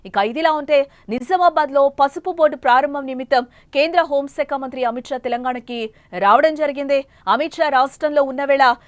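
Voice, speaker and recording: A woman's voice: high voice, a little fast, dry recording. A woman anchoring breaking news on television, partly improvised, with an emphatic, repetitive delivery.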